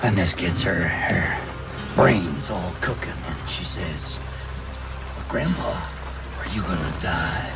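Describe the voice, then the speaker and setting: Old grandpa survivor, low gravelly voice, folksy n tender, game trailer w loud music. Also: male voice, lively intonation, full character voice. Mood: fond, wistful. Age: older. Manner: folksy, unhurried storytelling. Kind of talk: cinematic game trailer monologue.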